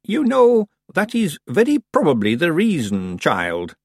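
A man voicing a very old, calm and stern witch woman with a dry, matter-of-fact manner. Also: a little high, normal speed, breathy edge, no echo, pitched above the performer's own voice, heavily acted.

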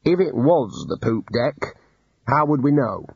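A man's voice: mid-range voice, big pitch swings, measured pace, vocal fry, a little mellow, breathy edge, dry recording, heavily acted. A man doing a pompous old wizard dean. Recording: dull lo-fi audiobook recording.

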